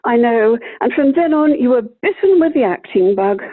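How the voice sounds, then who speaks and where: A woman's voice: fairly high pitch, expressive, normal speed. A woman playing a tactful, placating amateur theatre director in a radio play, polite and reassuring. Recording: tinny, phone-like video call audio.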